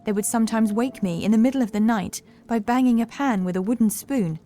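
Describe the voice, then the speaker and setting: A woman playing an anxious, dreamy housemaid in a horror audiobook, confiding softly and hesitantly. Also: a little high, fairly quick, soft background music, close to an everyday voice. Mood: haunted, fearful. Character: fragile young housemaid haunted by cruel masters, dreamy and anxious.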